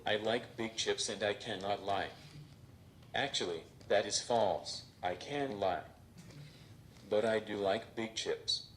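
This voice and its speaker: A man voicing a robot stand-up comedian who delivers deadpan jokes on stage. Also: on the low side, slow, slight background noise. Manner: deadpan, flat punchlines. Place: stage before a live audience.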